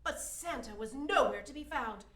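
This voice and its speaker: Actress as theatrical older Santa's wife, motherly, high-pitched, radio play, distant roomy recording. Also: lively intonation, a bit bright. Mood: worried. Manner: storytelling, measured, fond of children. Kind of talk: amateur radio drama acting. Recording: roomy echo, off mic.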